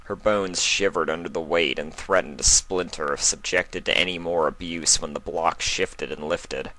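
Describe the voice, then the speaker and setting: A man's voice: deep voice, fairly even intonation, moderate pace, pushed and bright, slight background noise, rough recording. A man reading a fantasy story aloud as its narrator, in a dramatic scripted style. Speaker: fan fiction narrator.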